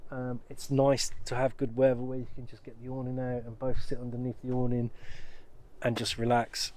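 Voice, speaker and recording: Casual English male vlogger, mid-pitched, chatty and rambling, cheerful. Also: darkish tone. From United Kingdom. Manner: rambling, many ums and restarts, upbeat. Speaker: van life vlogger. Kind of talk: casual vlog talk to camera.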